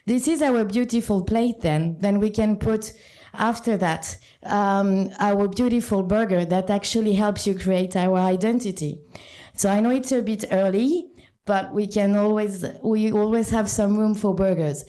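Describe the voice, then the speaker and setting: A woman with a French accent giving a short conference talk to a live audience, often pausing with ums and restarting sentences. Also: mid-range voice, warm tone. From born in Sri Lanka, French and Italian parents. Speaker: conference speaker.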